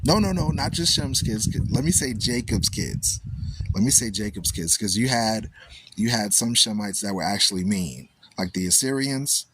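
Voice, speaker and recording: A man's voice: on the low side, moderate pace, slight background noise. A man hosting a talk radio show, speaking in a loose, preaching style and asking "right?" after most points. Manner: animated storytelling, repeats "right?" often.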